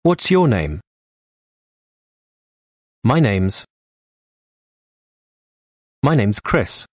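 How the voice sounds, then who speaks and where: Slow, lively man reading phrases. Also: medium pitch, no echo, studio quality. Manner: slow, clear, pauses between phrases. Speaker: phrase reader.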